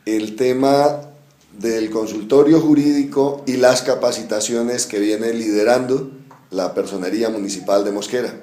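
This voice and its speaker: Guy hosting a local show, measured formal way of asking, dark warm voice. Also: medium pitch, steady tone. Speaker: radio interviewer. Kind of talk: interview questions. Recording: echoey room.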